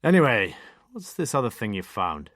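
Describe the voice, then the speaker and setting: A man's voice: medium pitch, very animated, normal speed, a bit bright, no echo. A man voicing a sardonic, lofty middle-aged detective inspector with a dry, clipped manner.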